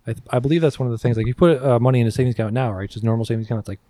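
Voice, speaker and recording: A man's voice: medium pitch, very fast, dark tone, no room sound. Man in casual, dry-sounding podcast chat. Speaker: podcast co-host.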